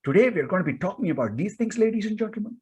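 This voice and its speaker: A man playing a loud, urgent, theatrical prime-time TV news anchor demanding attention. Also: high voice, big pitch swings, very fast, husky, pitched above the performer's own voice, exaggerated voice. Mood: bombastic, demanding.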